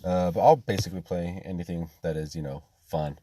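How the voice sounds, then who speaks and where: Brief: male podcast host, low mellow voice, dry close sound, hesitant delivery. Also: dry recording. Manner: hesitant, halting with fillers. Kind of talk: casual chat.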